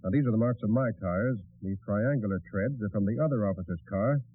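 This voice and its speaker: An actor playing a brisk, commanding American master detective who gives decisive, clipped instructions in a 1940s radio drama. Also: male voice, lowish voice, no room sound, lightly acted. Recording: muffled, band-limited old radio broadcast.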